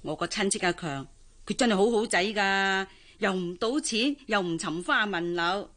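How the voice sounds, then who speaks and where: An actress with a Hong Kong Cantonese accent playing a pushy, traditional middle-aged auntie in a radio drama, coaxing and exclaiming. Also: female voice, mid-range voice, lively intonation, normal speed, fairly bright tone, lightly acted. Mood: persuading. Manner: coaxing, exclaiming, sighing interjections. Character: pushy, traditional older auntie urging a girl to marry.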